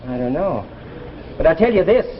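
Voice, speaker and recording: An actor playing a meek but giddy, earnest time traveller in a 1950s radio drama, with a folksy, emotive delivery. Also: male voice, on the high side, big pitch swings, normal speed, a little hiss or hum. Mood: urgent. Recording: dull vintage radio recording with music.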